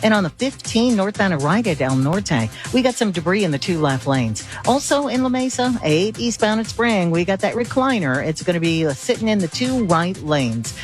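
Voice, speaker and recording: A woman's voice: medium pitch, sing-song, normal speed, hardly any pauses, faint music behind. An American woman working as a radio traffic reporter, with a conversational way of reading.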